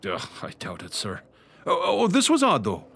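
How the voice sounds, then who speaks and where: A man's voice: on the high side, normal speed, creaky, a little hiss or hum, exaggerated voice. A male actor playing a folksy, superstitious Irish policeman in an old-style radio drama, with an Irish accent. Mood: gossipy. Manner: colorful, animated, folksy. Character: earthy, superstitious Irish beat policeman, talkative and deferential.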